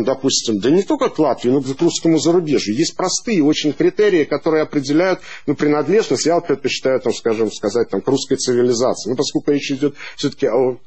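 Husky man speaking at a conference table. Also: mid-range voice, darkish tone. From Latvia. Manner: hesitant, many restarts and fillers, gestures while talking. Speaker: panel speaker. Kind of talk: unscripted panel talk. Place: large conference room. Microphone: table microphone.

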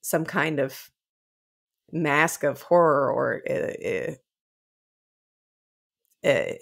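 A young woman co-hosting a casual book discussion, expressive and informal, with halting phrasing. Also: deep voice, vocal fry. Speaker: podcast co-host. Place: indoor room. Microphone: close desk microphone.

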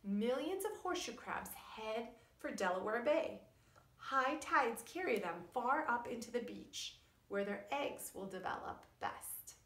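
A woman's voice: on the high side, expressive, fairly bright tone. Echoey middle-aged woman teaching young children. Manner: deliberate, teacherly, addressing young children with emphasis and questions. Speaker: preschool teacher. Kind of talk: children's science lesson and read-aloud story. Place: indoors in a wood-panelled room at home or school. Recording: echoey room.

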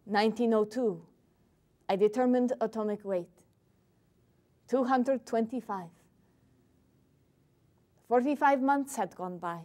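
Woman doing earnest lady scientist, high voice, formal careful phrasing. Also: darkish tone. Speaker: living history performer. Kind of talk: one-woman stage monologue. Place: dark stage set, indoors.

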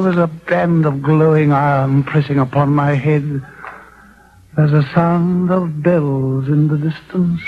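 Boastful, high-voiced young dreamer. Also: male voice, slight background noise. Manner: theatrical, declamatory, impetuous. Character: boastful, dreamy young vagabond with grand delusions. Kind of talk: 1940s radio acting. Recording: dull 1940s radio broadcast.

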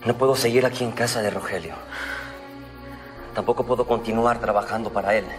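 A man's voice: fairly low pitch, steady tone, brisk, soft background music, close to an everyday voice. A man playing an ardent, insistent telenovela lover. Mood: sincere. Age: 30s. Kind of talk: telenovela acting.

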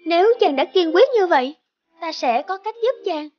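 A woman voicing a kind, brave young peasant girl in a cartoon fairy tale, earnest and gentle. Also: extremely high pitch, lively intonation, normal speed, muffled recording.

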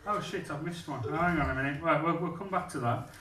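A man's voice: fairly high pitch, fast talker, pushed and bright, distant mic, a little hiss or hum. A man, telling a blunt, self-mocking stand-up story on a comedy club stage into a handheld mic. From England. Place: comedy club stage, small venue with live audience. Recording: echoey room, mic off-axis, audience laughter.

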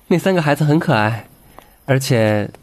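A young man playing a gentle, shy student quietly in love, soft-spoken and wistful, in an audio comic drama. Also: mid-range voice, a little hiss or hum.

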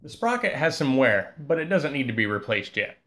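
A man giving a calm, scripted voice-over that walks through a repair step by step. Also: medium pitch, brisk. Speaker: repair channel host.

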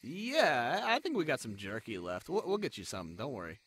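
A man voicing a young spider adventurer and secret lost prince in a fantasy audio roleplay, snarky, anxious and haltingly flustered. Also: medium pitch, huge pitch swings, a bit bright, breathy edge, full character voice. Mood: easygoing, chatty. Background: crickets, night forest ambience.

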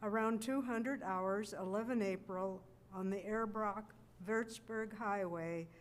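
Slow-reading older woman. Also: mid-range voice. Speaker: readers theater reader. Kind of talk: reading an official report aloud. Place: museum gallery, indoors. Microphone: podium microphone. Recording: clear background room noise.

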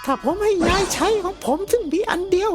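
A squeaky-high little schoolboy from a cartoon comedy. He is cheeky, naive and rambles earnestly, with music playing underneath. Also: fairly quick. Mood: eager, explaining. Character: cheeky, naive schoolboy grandson, eager and chatty.

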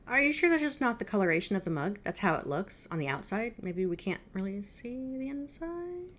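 A woman's voice: mid-range voice, sing-song, brisk, fairly bright tone, slight background noise. A woman in her thirties giving casual, off-the-cuff reaction commentary at home. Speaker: reaction video host. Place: indoor room at home.

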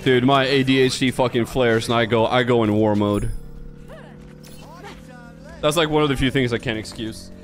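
A man's voice: mid-range voice, fairly even intonation, normal speed, long pauses, soft background music. A young man from Sweden whose first language is Swedish, chatting casually and bluntly with his viewers while he plays, with plenty of swearing. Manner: blunt and profane, talks straight to chat. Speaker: game streamer. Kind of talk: casual chat while playing.

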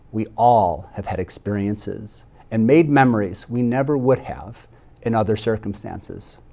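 A man's voice: mid-range voice, darkish tone. A middle-aged man, a school principal, giving a prepared graduation speech in a reflective, heartfelt way.

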